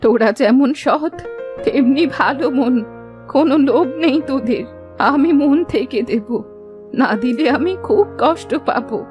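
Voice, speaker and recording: A high-pitched old village auntie, speaks in an effusive, motherly, folksy way. She is a grateful, affectionate cartoon fairy-tale elder, with faint music playing behind her. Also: unhurried, muffled recording. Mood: kindly, insistent. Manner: effusive, folksy, motherly way of addressing younger people.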